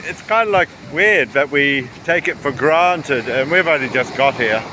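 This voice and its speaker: A man's voice: a little high, lively intonation, unhurried. A man giving casual, rambling commentary as a travel vlogger, walking outdoors along a beach. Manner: rambling, chatty. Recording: windy outdoor recording with surf noise. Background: wind, waves, outdoor ambience.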